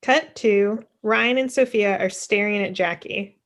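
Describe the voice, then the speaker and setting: A woman's voice: mid-range voice, unhurried, fairly bright tone. Woman reading screenplay stage directions. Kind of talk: scripted narration. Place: indoor room on a video call. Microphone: video call.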